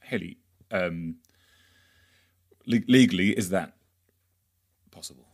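A man's voice: low voice, takes it slowly. Hesitant man hosting a podcast. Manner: hesitant, repeats words, many fillers. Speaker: podcast host. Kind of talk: podcast discussion.

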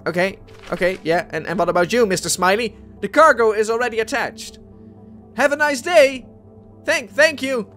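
Man, dutch accent, high-pitched, chatty let's play commentary, faint music behind. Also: moderate pace, fairly bright tone. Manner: chatty, thinks aloud, reads game text aloud. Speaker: gaming video host. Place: home room at a desk. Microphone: headset.

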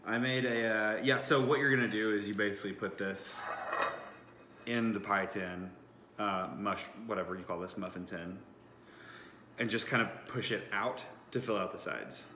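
A man's voice: on the low side, steady tone, normal speed, bright, forceful tone. A man in his thirties chatting casually and briefly while he bakes. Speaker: home baker. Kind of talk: casual chat while baking. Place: home kitchen, indoors. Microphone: phone, standing back from it. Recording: echoey kitchen with background noise.

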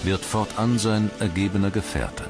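A man reading scripted documentary narration in a measured, formal manner. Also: lowish voice, steady tone. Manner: measured, formal read delivery. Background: background music.

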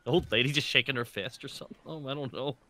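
A man's voice: medium pitch, quick pace, pushed and bright. A man casually roleplaying in a tabletop game, joking and repeating himself as he improvises.

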